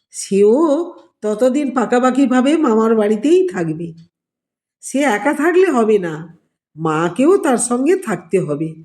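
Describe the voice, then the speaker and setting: A woman narrates a story in a slightly high, soft-toned voice. She takes her time, setting out each phrase deliberately like a storyteller reading aloud. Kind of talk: scripted narration of a family drama.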